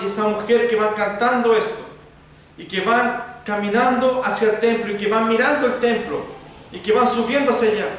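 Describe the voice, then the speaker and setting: A Chilean pastor preaching an expository sermon, addressing the congregation directly in repeated parallel phrases. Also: male voice, high-pitched. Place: church sanctuary. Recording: very echoey church, voice from far off, faint noise.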